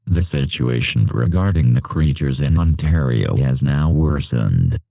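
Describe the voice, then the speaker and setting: A man with a gravelly, synthetic-sounding voice reads a scripted emergency alert in a flat, formal manner. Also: rumbling low. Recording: echoey, narrow phone-like alert broadcast.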